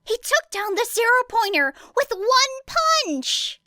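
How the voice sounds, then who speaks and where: A woman's voice: very high voice, sing-song, slow pace, bright, forceful tone, dry recording, pitched above the performer's own voice, full character voice. A woman voicing a bubbly, enthusiastic teenage schoolgirl in an audiobook story. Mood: excited. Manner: exclaiming, enthusiastic.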